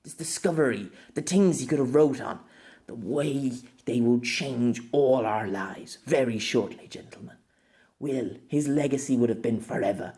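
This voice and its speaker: A man's voice: medium pitch, a little mellow, lightly acted. A man voicing a stern, authoritative female doctor with a formal, impatient manner in a story.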